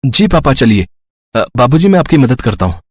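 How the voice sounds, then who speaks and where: Woman doing a low-pitched, helpful young husband. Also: very animated, normal speed, husky, no echo, exaggerated voice. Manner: reassuring, polite.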